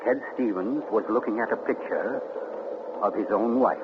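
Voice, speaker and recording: An actor playing an urbane, theatrical mystery writer who tells his tale with deliberate, dramatic pauses in 1940s radio style. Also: fairly low pitch, lightly acted. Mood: grave, suspenseful. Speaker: actor and narrator. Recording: muffled, noisy 1940s radio recording.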